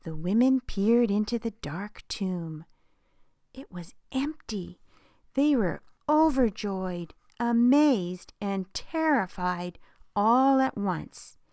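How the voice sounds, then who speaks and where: A woman reading a Bible story aloud for children, telling it expressively and dropping to a hushed whisper at dramatic moments. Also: on the high side, no echo, clean studio sound.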